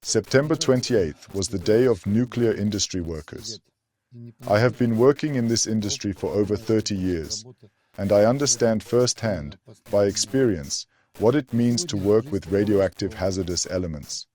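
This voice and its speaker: Man, voice-over interpreter; low, mellow voice, measured and even delivery. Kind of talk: read voice-over translation.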